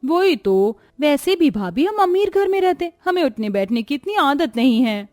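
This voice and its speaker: A woman's voice: high voice, big pitch swings, moderate pace, darkish tone, no echo, clean studio sound, soft background music. A woman voicing spoiled, snobbish young married daughters in a cartoon story, with an entitled, demanding manner. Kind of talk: acted Hindi cartoon story dialogue.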